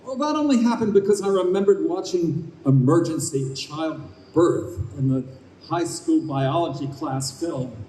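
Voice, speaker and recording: A man's voice: on the high side, expressive, measured pace, warm tone. An actor playing an anxious, indignant father awaiting rebirth, in an earnest, exclaiming old-time radio style. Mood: anxious chatter. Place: live performance in a convention room. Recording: roomy, distant live stage recording, faint noise.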